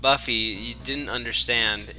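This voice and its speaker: A man's voice: medium pitch, fairly even intonation, a little slow, bright, forceful tone, soft background music. A man doing a mocking dramatic reading with sweary, joking commentary and a mock-dramatic manner.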